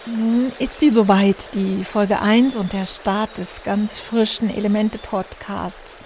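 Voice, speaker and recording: A woman's voice: mid-range voice, fairly even intonation, slow pace, slight background noise. A German woman hosting her own podcast, talking through her thoughts in a reflective monologue with many fillers and restarts. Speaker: podcast host, journalist and author.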